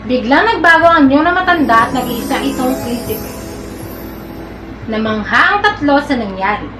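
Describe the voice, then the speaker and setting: A woman's voice: high-pitched, lively intonation, moderate pace. A young female narrator reading a school puppet show story carefully and announcing the cast. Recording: echoey room with faint music.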